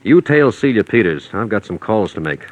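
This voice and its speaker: An American actor playing a shrewd, dogged private investigator, calm and calculating, in 1940s radio mystery style. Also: male voice, lowish voice, normal speed, muffled recording, noisy background.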